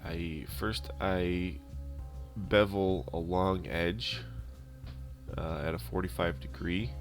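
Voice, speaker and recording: A man narrating a DIY prop-building tutorial in a casual, unscripted and halting way. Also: low-pitched. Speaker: DIY prop-making video host. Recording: instrumental music behind the voice. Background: music.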